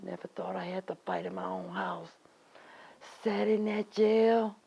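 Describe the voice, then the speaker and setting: Woman acting a high, creaky, long-suffering Southerner. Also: slow, muffled recording. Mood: weary, grave. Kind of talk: dramatic stage monologue.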